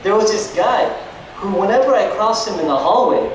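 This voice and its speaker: A man's voice: high voice, lively intonation, brisk. A young man telling stand-up stories at an open mic in a hesitant, self-deprecating way. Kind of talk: stand-up storytelling to an audience. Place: nightclub stage at an open mic. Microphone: picked up from a distance in the room. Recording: echoey nightclub, far mic, noisy crowd.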